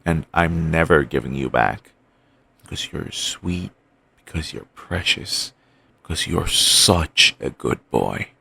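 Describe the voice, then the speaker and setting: A man playing an obsessive, doting yandere boyfriend in an audio roleplay, talking softly and rambling on with endearments. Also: very deep voice, lively intonation, gravelly, slightly rough, heavily acted. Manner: soft, murmuring, slow and rambling endearments.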